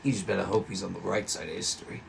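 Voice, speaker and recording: A man's voice: deep voice, steady tone, rapid-fire, gravelly. A man playing a lazy, gossiping police officer in an amateur script read, with faint noise. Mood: wary, scornful. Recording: noisy room recording.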